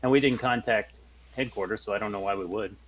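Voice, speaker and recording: A man's voice: fairly low pitch, fast, a bit bright, poor audio. A man in casual discussion as a player in a tabletop role-playing game.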